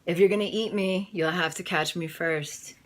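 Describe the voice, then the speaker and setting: A woman's voice: lowish voice, steady tone, moderate pace, lightly acted. A woman voicing a cheeky, fearless tiny girl in a children's storybook. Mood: bold, cheeky. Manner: cheeky, bold.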